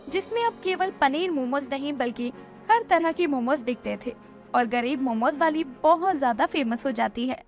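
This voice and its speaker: Female story narrator: high-pitched, expressive scripted delivery over a light music bed.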